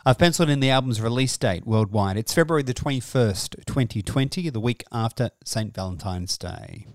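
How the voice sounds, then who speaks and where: Male music podcast presenter. Middle-register voice, earnest and measured, dry studio sound. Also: mid-range voice, animated, no room sound. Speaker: podcast host. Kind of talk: scripted music-news presenting.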